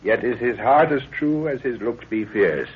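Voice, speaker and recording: A man's voice: medium pitch, animated, normal speed, narrow, tinny audio, a little hiss or hum, close to an everyday voice. A man acting a commanding, fatherly middle-aged professor and expedition leader in a 1930s radio adventure, formal and authoritative. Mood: commanding, deliberate.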